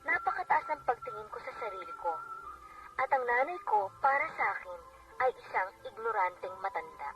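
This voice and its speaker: An earnest, remorseful Filipino daughter, narrates a confessional letter. Her pitch moves widely and expressively. The radio playback sounds distant, with music underneath. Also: very animated, brisk. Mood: regretful.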